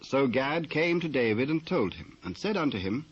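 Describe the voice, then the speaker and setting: A man with a British accent narrating dramatised scripture in a measured, formal manner. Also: medium pitch, big pitch swings, slightly rough. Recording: muffled old cassette transfer.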